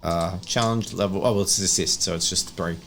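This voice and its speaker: A man's voice: deep voice, brisk, fairly bright tone, a little hiss or hum. A man acting as game master, narrating a tabletop roleplaying game and calling out rulings. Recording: music behind the voice. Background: music.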